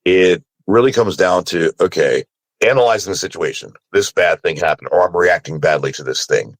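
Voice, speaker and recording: A man's voice: deep voice, lively intonation, moderate pace, breathy edge, dry recording, rough recording. A man talking casually and candidly about himself, in an informal way, often checking in with the listener. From Sri Lanka, moved to America as a child. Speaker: panelist, voice actor. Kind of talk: casual, candid personal conversation.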